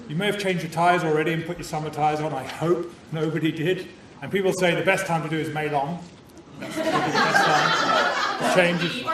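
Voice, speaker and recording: A man hosting a club meeting, cheerful and bantering as he opens the evening with jokes and a safety talk. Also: a little high. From new Canadian citizen. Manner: cheerful, bantering, self-deprecating. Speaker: meeting host opening a club meeting. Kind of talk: jokey public-speaking address to a club audience. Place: meeting room with a live audience. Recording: echoey room, far-off mic, noisy.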